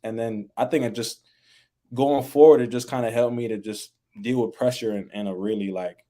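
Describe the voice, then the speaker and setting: A young man talking casually as a podcast guest, relaxed and full of fillers, raised in the American Midwest. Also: medium pitch, moderate pace. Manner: relaxed, laid-back, many fillers like "like" and "you know". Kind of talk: casual interview chat.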